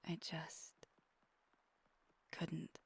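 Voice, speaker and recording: A woman playing a wistful, tender vampire girlfriend in an intimate ASMR roleplay, confiding in a soft, halting, breathy half-whisper close to the mic. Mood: hesitant, regretful. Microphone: close microphone.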